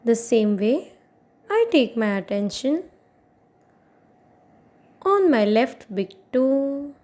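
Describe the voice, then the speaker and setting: A woman guiding a meditation as an instructor, giving soothing instructions in short phrases with long pauses between them. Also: a little high, sing-song, mellow. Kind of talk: guided meditation, yoga nidra narration.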